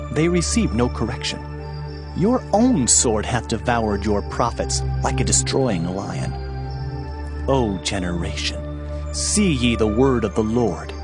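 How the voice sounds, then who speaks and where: A male actor playing a stern, impassioned prophet, declaiming in a dramatized scripture reading. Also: fairly low pitch. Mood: stern reproach. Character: Jeremiah, impassioned male prophet voicing divine reproach.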